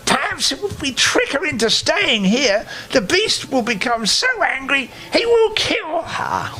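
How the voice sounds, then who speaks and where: A man's voice: extremely high pitch, very animated, unhurried, bright, forceful tone, pitched above the performer's own voice, exaggerated voice. A man voicing jealous, scheming ugly sisters in a children's fairy tale, spiteful and conspiring. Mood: jealous, plotting.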